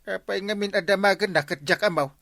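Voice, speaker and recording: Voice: mid-range voice, brisk, vocal fry, fairly bright tone, dry recording. An actor playing a grumbling villager in a comedy drama. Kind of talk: comedy radio drama acting in Ilocano.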